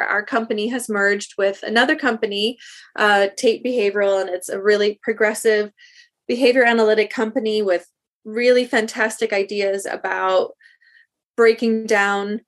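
A woman's voice: medium pitch, steady tone, normal speed. A woman from South Africa, a guest in an interview, talking earnestly about her work and stopping often to rephrase. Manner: earnest, thoughtful, with many restarts. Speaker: guest, speech language pathologist. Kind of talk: interview answers.

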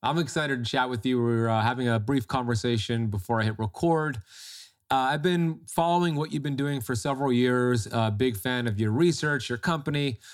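A male podcast host speaks with friendly enthusiasm as he introduces his guest and asks questions. His voice sits at a middle pitch, with an occasional filler. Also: normal speed. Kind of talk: podcast interview hosting.

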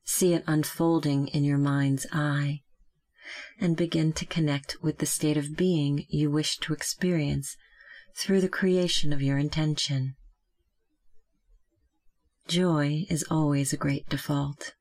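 A meditation guide speaking gently and calmly, giving slow, measured instructions with long pauses. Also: medium pitch.

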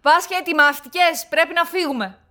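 An actress playing a firm but anxious woman in a radio drama, guarded and urgent as she resists pressure. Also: very high-pitched. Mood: firm refusal.

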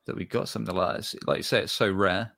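A male interviewer with a very low voice keeps the conversation moving with short affirmations. He sums up the guest's points and asks brisk follow-up questions. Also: fairly even intonation, creaky, a bit bright. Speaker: podcast host.

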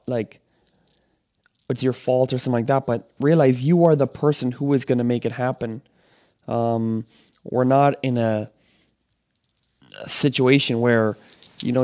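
A man talks through his ideas in a rambling, coaching way, stopping often for fillers and restarts. His voice sits at an ordinary pitch, with a dark, mellow tone. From Venezuela. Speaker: podcast host. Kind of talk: solo motivational podcast talk.

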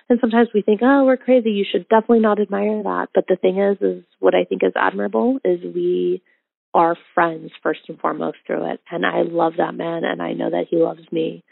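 A woman in her thirties from Indonesia, telling her love story in a casual, reflective way with many ums and pauses. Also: medium pitch, vocal fry, no room sound. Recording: tinny phone-like audio.

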